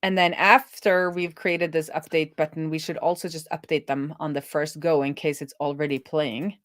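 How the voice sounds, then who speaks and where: A woman with a slightly low voice gives a casual running commentary while coding on a live stream. She thinks aloud as she works. The sound is close and dry, with little room on it. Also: little pitch movement, normal speed, fairly bright tone, no echo. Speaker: live-streaming web developer.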